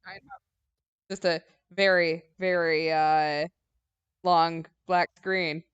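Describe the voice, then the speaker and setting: A woman's voice: fairly low pitch, steady tone, slow, smooth, no echo, lo-fi sound. A woman co-hosting a gaming stream, casually chatting and recapping the story with easygoing wry humor. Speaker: let's play co-host.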